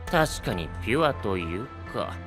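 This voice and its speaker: A man's voice: on the low side, big pitch swings, normal speed. A man playing a cheeky young knight in an anime-style voice drama, teasing others in polite phrasing. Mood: teasing, amused. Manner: polite wording with playful jibes. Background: background music.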